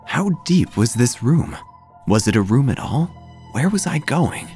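A man playing a quirky investigator who narrates his own tale in a theatrical, deadpan, wry way. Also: mid-range voice, a little slow. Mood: ominous, melodramatic. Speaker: narrator and lead actor of an audio drama. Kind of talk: scripted first-person noir-like narration. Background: music.

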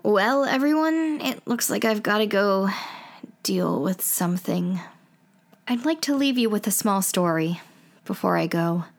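A woman's voice: mid-range voice, animated, unhurried, a little hiss or hum. A woman playing a wry, chatty young radio broadcaster in an audio drama. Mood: casual, uneasy. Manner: wry, playful, wordy.

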